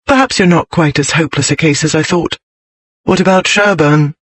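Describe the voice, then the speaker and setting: A man's voice: a little high, moderate pace, fairly bright tone, no room sound. A man voicing a strong-willed, outspoken young lady in an audiobook, with forthright, emphatic period speech. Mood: soothing, teasing. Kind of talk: audiobook character voice.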